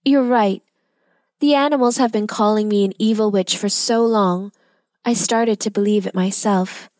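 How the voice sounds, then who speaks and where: Lady reading as a grumpy old storybook witch, loud and cross, dry close sound. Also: medium pitch, steady tone, normal speed, dry recording, lightly acted. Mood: sad. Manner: loud and angry, then softening. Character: lonely old woman posing as a fierce witch.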